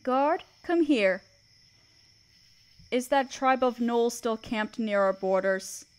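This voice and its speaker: High-voiced motherly spider queen, played by a woman. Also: clear, resonant tone. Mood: regal, stern. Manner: soft, soothing, deliberate storytelling. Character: gentle motherly spider queen, older, sorrowful, regal, protective. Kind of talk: comforting roleplay audio drama.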